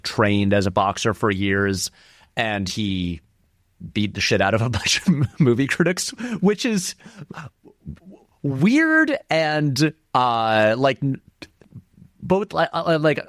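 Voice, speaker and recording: A man's voice: fairly low pitch, no room sound, clean studio sound. A man giving casual, conversational film commentary as an interview guest, often hedging and qualifying his points.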